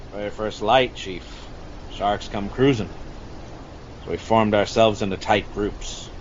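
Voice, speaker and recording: A man acts out a weathered, boastful sea-dog with a haunted past. He rambles through his tales in a mid-pitched voice, like a veteran hunter holding court in an amateur audio drama. The sound is lo-fi, with clear background noise. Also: lightly acted. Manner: rambling salty storyteller, addressing listener as Chief. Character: gruff boastful old sea-dog shark hunter, haunted war survivor.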